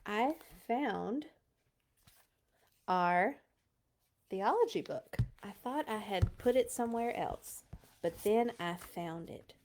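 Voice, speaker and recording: A woman's voice: mid-range voice, lively intonation, slow pace, long pauses, darkish tone, slight background noise. A woman hosting a children's read-along, reading aloud and adding casual asides.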